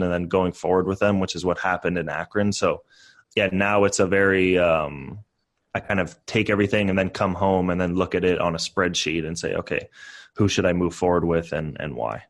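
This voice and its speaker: Low-voiced young man in casual talk. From born in Canada, raised in the US. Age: 20s. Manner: conversational, upbeat, many fillers. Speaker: guest, real estate investor. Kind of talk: casual podcast interview.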